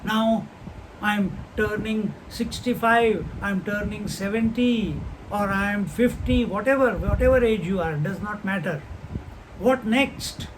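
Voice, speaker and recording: Older male spiritual teacher, high-pitched, unhurried questioning delivery. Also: slight background noise, poor audio. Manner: unhurried, posing rhetorical questions; chants Sanskrit verses slowly. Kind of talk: scripture class talk.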